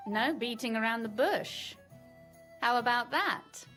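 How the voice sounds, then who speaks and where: A woman's voice: a little high, unhurried, a bit bright, faint music behind. An actress playing a witty, learned, self-assured young noblewoman in a video game, articulate and teasing. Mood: playful, haughty. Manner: articulate, teasing, slightly haughty. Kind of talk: video game dialogue acting.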